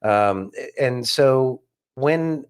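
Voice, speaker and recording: A man's voice: medium pitch, lively intonation, drawn-out words, clear, resonant tone. A man co-hosting a casual political discussion, speaking emphatically and repeating phrases for stress. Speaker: podcast co-host.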